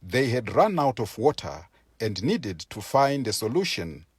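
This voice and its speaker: A man reading a folktale aloud as a storyteller, with expressive, deliberate and dramatic phrasing for young listeners. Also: medium pitch, husky, no echo, studio quality. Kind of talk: expressive scripted story narration for children.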